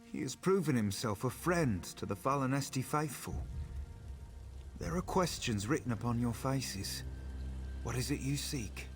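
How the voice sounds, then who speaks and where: An actor playing a calm, formal, reasonable leader of pilgrims in scripted fantasy game dialogue. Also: male voice, medium pitch, measured pace, faint music behind. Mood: calm, measured.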